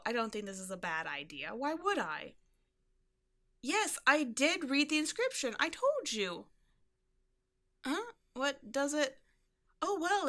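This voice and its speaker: A woman's voice: fairly high pitch, sharp tone, heavily acted. A woman acting a brash, cheeky tomboy archaeologist in a roleplay audio drama, bantering with the listener with defensive bravado. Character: brash tomboy adventurer archaeologist, cocky, flustered, secretly sweet.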